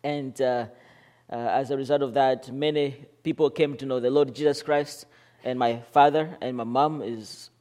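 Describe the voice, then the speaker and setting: A man from Malawi, a guest pastor, leading a church service in an earnest, halting way with frequent fillers. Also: medium pitch, fairly even intonation, dark tone.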